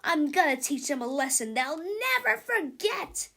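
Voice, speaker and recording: A woman's voice: high voice, big pitch swings, unhurried, creaky, pushed and bright, voice pushed up, heavily acted. A woman voicing an arrogant, pompous, easily angered boy genius villain in a children's storybook. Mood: vengeful. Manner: pompous, wordy, emphatic.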